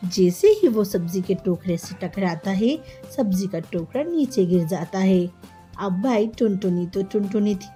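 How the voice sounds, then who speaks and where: A woman's voice: medium pitch, lively intonation, measured pace, mellow, faint music behind. A woman narrating a scripted children's story as a storyteller.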